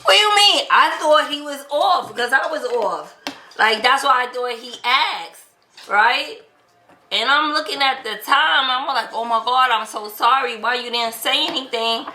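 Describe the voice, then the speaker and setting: A woman's voice: sits high, unhurried. An American woman telling a story in a casual, chatty way, acting out bits of quoted dialogue as host. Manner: animated retelling with quoted dialogue. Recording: roomy echo, light background noise.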